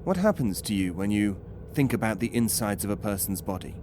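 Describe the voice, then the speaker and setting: A man's voice: on the low side, expressive, fairly quick, noisy background, natural voice. A man playing an uneasy, stern doctor in an audio horror drama, speaking with formal, clinical control. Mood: clinical, probing. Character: uneasy, stern British male doctor interrogating a prisoner.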